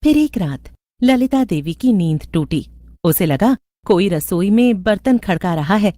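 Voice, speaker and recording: A woman's voice: mid-range voice, expressive, a little slow, warm tone, dry recording, studio quality. A woman narrator reading a scripted horror story.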